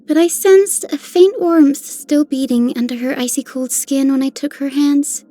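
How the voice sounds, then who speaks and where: A woman's voice: high voice, fairly even intonation, a little slow, warm tone. A woman playing a sweet, earnest and admiring young heroine in a video game. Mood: earnest, tender. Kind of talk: dramatic game voice acting.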